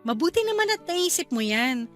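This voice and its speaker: High-pitched young Filipino wife. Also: female voice, very animated, normal speed, soft background music. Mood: cheerful, chatty. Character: agreeable, dutiful young wife and new mother. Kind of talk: Tagalog radio drama acting.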